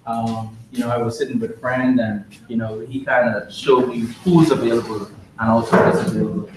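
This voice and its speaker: Bahamian guy, slow and halting, lots of uh's, far off in a big echoey room with hiss. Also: mid-range voice, fairly even intonation. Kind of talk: testimonial talk at a business meeting. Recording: echoey hall from far off, hissy.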